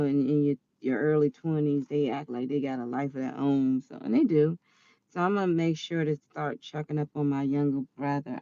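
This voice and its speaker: A woman around forty telling a personal story in a chatty, animated way, quick to laugh. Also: low voice, dry recording, muffled recording. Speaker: vlogger.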